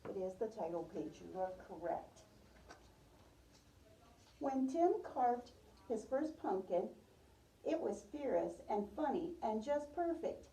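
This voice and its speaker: A woman reads a picture book to small children in the manner of a preschool teacher, checking in with questions. Her voice is very soft-edged and dark, fairly high, and unhurried. It is picked up from a distance in a roomy space. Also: expressive. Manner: addresses listeners as young children, repeats their answers. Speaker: preschool teacher reading aloud. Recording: roomy, distant microphone, muffled top end.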